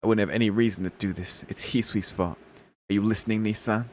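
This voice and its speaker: Man reading as scolding little sister, low-voiced. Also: fairly even intonation, quick pace, a little mellow, a little hiss or hum, natural voice. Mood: indignant. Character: irritated, scolding little sister in a visual novel. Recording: music playing behind the voice.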